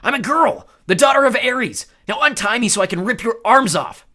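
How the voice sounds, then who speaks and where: A man voicing a brash, defiant teenage warrior girl who shouts her lines. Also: very high-pitched, lively intonation, normal speed, voice pushed up, heavily acted. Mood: defiant yelling.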